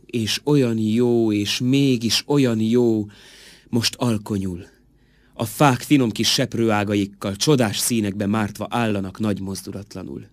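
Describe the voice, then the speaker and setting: A man reciting poetry in an expressive, solemn, measured manner with drawn-out phrases. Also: on the low side, no room sound.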